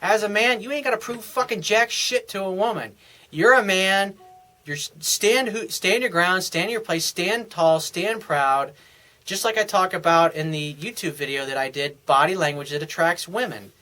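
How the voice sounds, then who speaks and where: A man's voice: sits high, moderate pace, pushed and bright. A male dating coach giving blunt, emphatic advice in a monologue, swearing freely and often restarting his phrases. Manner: repeats and restarts phrases as he talks. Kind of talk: monologue answering a viewer's question.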